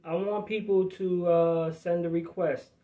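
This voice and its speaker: A man's voice: sits high, fairly even intonation, a little slow, narrow, tinny audio. A man from New Jersey hosting a live podcast, talking in a rambling, profane, streetwise way. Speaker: podcast host. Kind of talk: casual live podcast chat.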